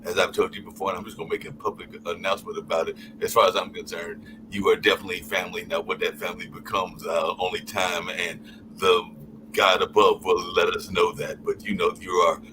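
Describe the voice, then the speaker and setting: A man's voice: deep voice, little pitch movement, fairly quick. A man on a live call-in podcast, talking in long rambling stretches and often trailing off with "along those lines". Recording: noisy stream audio.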